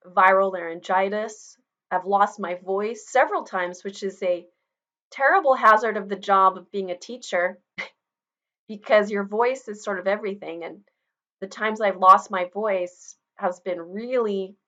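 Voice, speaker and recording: A woman's voice: mid-range voice, bright, forceful tone, dull audio. A middle-aged woman teacher giving a recorded online lecture, explaining in a conversational way with personal asides. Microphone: webcam video recording.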